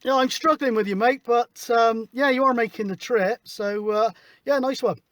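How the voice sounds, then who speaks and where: A man's voice: very high voice, normal speed, very noisy. A man chatting casually and matily as he runs a CB radio session. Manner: informal, matey. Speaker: CB radio operator, host. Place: outdoors at a hilltop spot, mobile radio setup.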